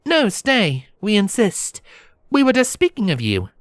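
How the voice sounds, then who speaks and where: A man voicing a regal, gentle young pony princess with a soft, silvery voice and formal, courtly phrasing, in a fantasy story. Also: high voice, wildly swooping pitch, unhurried, no echo, clean studio sound, voice pushed up, exaggerated voice. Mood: gracious.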